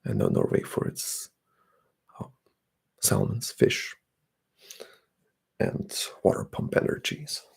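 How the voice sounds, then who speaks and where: Man, ASMR livestream chat, half-whispered, deep gravelly fry, breathy. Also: huge pitch swings, takes it slowly, long pauses. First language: Bulgarian. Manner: soft-spoken, drifting into whispers. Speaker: ASMR streamer.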